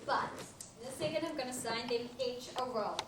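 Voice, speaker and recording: An earnest female lab technician acted by a woman with a high voice. She explains in technical terms with some self-importance, as in a stage comedy. The recording is echoey, from across the room. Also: fast talker, a crowd in the background, natural voice. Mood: self-important. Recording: echoey noisy room from far off.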